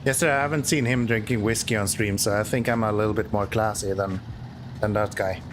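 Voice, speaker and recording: A man's voice: fairly low pitch, steady tone, fairly quick, noisy background. A Swedish-accented man whose first language is Swedish, chatting casually and sarcastically while streaming a driving game. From Sweden. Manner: joking, self-mocking, sarcastic. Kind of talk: casual chat while playing.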